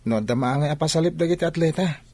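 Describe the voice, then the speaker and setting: Eager young athlete, higher-pitched voice. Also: male voice, fast, darkish tone, husky. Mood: earnest. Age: young adult. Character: eager young male athlete, devoted son. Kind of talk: Ilocano radio drama acting.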